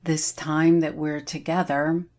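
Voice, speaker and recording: A woman leading a guided meditation in a soothing manner, leaving long pauses between phrases. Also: deep voice, flat delivery, darkish tone.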